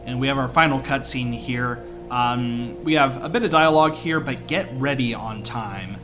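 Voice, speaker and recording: A man's voice: mid-range voice, normal speed. Casual man commentating over game music. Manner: casual, explanatory. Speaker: speedrunner commentating own run. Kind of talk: live gameplay commentary.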